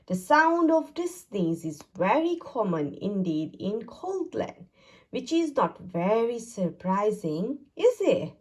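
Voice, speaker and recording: A woman's voice: on the high side, breathy edge. A woman narrating a children's picture book aloud in a sing-song, exaggerated storytelling manner. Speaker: storybook reader.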